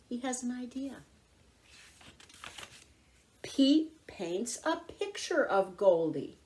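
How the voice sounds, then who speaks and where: Slow, animated woman reading to children. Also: a little high. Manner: expressive, deliberate, clearly phrased. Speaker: volunteer children's story reader. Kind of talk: read-aloud picture book narration for young children.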